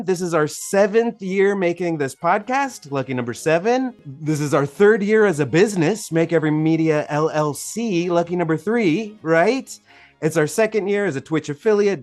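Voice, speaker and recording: A man hosting a podcast, moving between introductions and casual conversation. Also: fairly high pitch. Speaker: podcast host. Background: music behind the voice.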